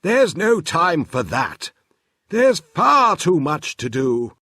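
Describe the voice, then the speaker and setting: A man's voice: a little high, sing-song, slow, bright, forceful tone, dry recording, studio quality, pitched above the performer's own voice, heavily acted. A man doing a muddled old professor. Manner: fussy and exclaiming. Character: forgetful, mishearing old male professor inventor. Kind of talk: storybook read-aloud.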